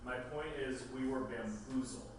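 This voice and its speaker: An actor at a table read playing a chatty, indignant man telling a dinner story with dramatic, drawn-out emphasis. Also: medium pitch, lightly acted. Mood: indignant, storytelling. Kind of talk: acted dialogue read from a script. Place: echoey room, table read. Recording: very echoey room, distant mic, noisy.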